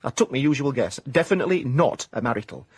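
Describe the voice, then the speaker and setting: Husky, lilting Yorkshire private eye. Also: male voice, medium pitch, fast talker, dry recording, clean studio sound. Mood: wry, deadpan. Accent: South Yorkshire. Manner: deadpan, mock hard-boiled narration, clipped phrases. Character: wry former-miner private eye, hard-boiled pretensions, hapless. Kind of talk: comic radio drama acting.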